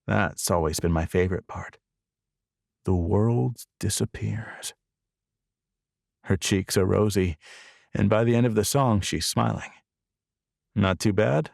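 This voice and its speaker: A man's voice: on the low side, creaky, a little mellow, no echo, clean studio sound. A man narrating a first-person romance novel as an audiobook.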